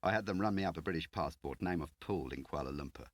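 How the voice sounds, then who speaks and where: A man's voice: extremely low pitch, fast talker, no echo. A man voicing a cocky, brash field agent on the run, talking in slang, in a spy audiobook. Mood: glib.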